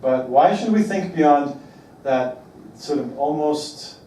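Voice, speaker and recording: A man from Belgium gives a presentation with a mellow, warm voice, stressing key words slowly and posing rhetorical questions. He is picked up from a distance in a roomy hall with a faint hum of ambience behind him. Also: fairly high pitch, lively intonation. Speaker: speaker giving a talk. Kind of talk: presentation to an audience.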